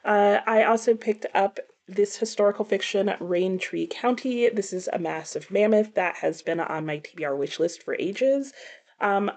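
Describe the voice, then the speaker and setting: A woman's voice: medium pitch, moderate pace, darkish tone. A middle-aged woman chatting casually to viewers about books, thinking aloud and correcting herself as she goes. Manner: musing, self-correcting, conversational. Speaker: book vlogger.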